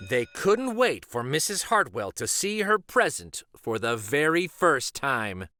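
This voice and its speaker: Bright, highly animated male storybook reader. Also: measured pace, no echo, studio quality. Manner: animated, dramatic emphasis. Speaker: children's storybook reader and host. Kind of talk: expressive read-aloud narration of a picture book.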